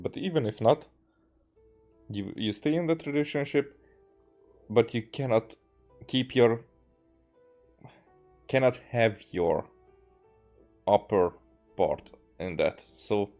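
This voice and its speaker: Low-voiced man, halting game commentary. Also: animated, warm tone. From Hungary. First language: Hungarian. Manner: halting, stammering, earnest. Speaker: game streamer. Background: game music.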